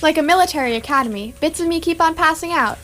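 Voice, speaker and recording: Woman playing a high-voiced, bewildered earthling. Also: fast, a bit bright. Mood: bewildered, wry. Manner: plaintive, dry, polite incredulity. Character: bewildered, drily sarcastic human swept into space. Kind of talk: amateur radio play acting. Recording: clear background noise.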